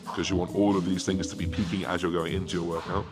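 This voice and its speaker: A man with a raspy voice and a half West Country accent, chatting casually to camera as a fitness coach and swearing now and then. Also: deep voice, flat delivery, fast talker. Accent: half West Country English. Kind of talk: casual vlog talk to camera. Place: home, then gym. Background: music.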